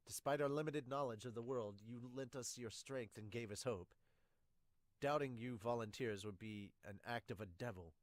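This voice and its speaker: A man voicing solemn, measured military officers in an amateur manga dub. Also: medium pitch, dry recording, close to an everyday voice. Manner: formal, measured.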